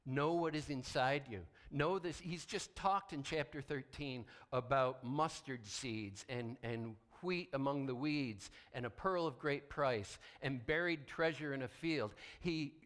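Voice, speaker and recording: A male pastor delivers a sermon in a deliberate, emphatic way. He uses dramatic pauses and drops to a hushed tone for weight, with his voice at a medium pitch.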